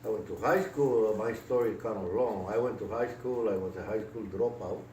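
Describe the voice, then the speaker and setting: Older puerto rican guy, real low voice, lots of uh and restarts, sounds far off in an echoey room. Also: a little mellow. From Puerto Rico. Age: 60s. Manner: halting, many fillers and restarts. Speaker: interviewee. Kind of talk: casual life-story interview. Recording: echoey room, distant mic, noisy.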